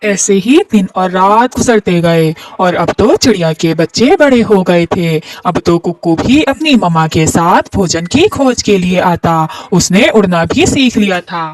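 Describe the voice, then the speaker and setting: A woman's voice: lowish voice, animated, a little slow, few pauses, darkish tone, dry recording, distant mic, poor audio. A woman narrating a scripted children's story.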